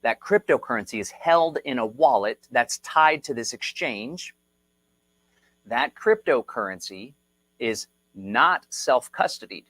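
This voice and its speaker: A man hosting a casual livestream explainer, talking in an energetic, repetitive, rallying way. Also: medium pitch, measured pace, dry recording. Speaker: host. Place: Texas.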